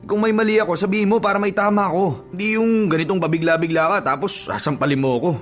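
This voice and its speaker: A man's voice: high-pitched, fast, close to an everyday voice. Radio drama role: bewildered young man, played by an actor, earnest, questioning, mid-range voice, light music behind. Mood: confused, indignant. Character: bewildered young man confronted by a lovesick friend. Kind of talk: Filipino radio drama acting.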